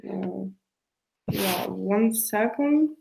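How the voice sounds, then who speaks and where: A woman's voice: medium pitch, lively intonation, extremely slow, vocal fry, mellow, slight background noise. A woman with an Italian accent, a native Italian speaker, co-hosting a live webinar with short remarks and bits read from the chat. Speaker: webinar co-host.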